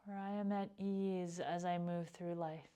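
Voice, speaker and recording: A woman leading a guided meditation as a teacher, speaking in a calm, gentle, unhurried way with pauses. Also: fairly low pitch, smooth. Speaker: yoga nidra meditation teacher.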